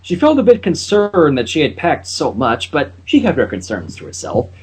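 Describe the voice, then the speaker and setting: Brief: higher-pitched male reader, husky, animated reading of a fan story. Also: normal speed. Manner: hesitant, with fillers and restarts. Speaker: fanfic reader.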